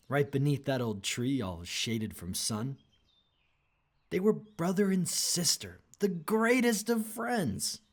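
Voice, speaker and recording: Female storybook narrator, very low register, lively swooping intonation, unhurried pace for rhyming read-aloud.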